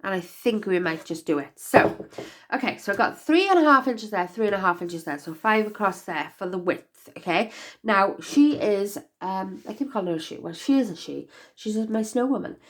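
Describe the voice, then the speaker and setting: A woman's voice: medium pitch, lively intonation, moderate pace. A young woman with a stuffy nasal voice from a cold, chatting casually and rambling with lots of fillers as she works through a craft project. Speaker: crafter demonstrating box making. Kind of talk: casual craft-along chat.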